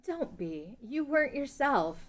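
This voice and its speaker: A woman's voice: high voice, big pitch swings, unhurried. A woman playing a stubborn, brave young princess in a fantasy table read. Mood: determined.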